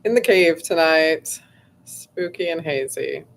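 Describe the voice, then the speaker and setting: A woman hosting a casual livestream, her voice going hoarse, rambling chattily with lots of fillers and frequent throat clearing. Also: low-pitched, lively intonation, takes it slowly, a little hiss or hum. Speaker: host.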